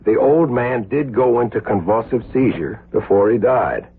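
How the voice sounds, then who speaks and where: A man's voice: medium pitch, lively intonation, moderate pace, husky. An actor playing an intense, earnest male surgeon with emphatic delivery in an American 1970s radio drama. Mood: agitated. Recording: muffled, lo-fi old radio broadcast.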